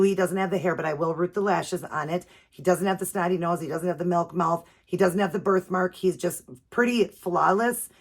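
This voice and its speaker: A woman from the with a low-pitched voice, hoarse and raspy because her allergies are acting up. She talks to her viewers in a loose, chatty way, often pausing with um and you know. She sounds middle-aged. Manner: chatty with many fillers. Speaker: doll channel host. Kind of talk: casual video chat.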